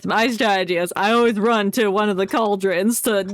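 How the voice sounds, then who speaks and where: A streamer chatting casually and playfully through live gameplay, excitable and often muttering to themselves. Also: medium pitch, normal speed, no echo. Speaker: game streamer. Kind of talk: casual live gaming commentary. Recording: music behind the voice.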